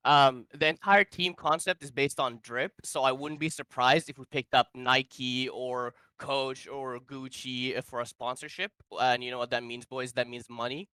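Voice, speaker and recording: Bright, crisp young man making a joking pitch. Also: moderate pace, hardly any pauses, no echo. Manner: deadpan and mock-formal, with many um and uh. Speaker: team captain.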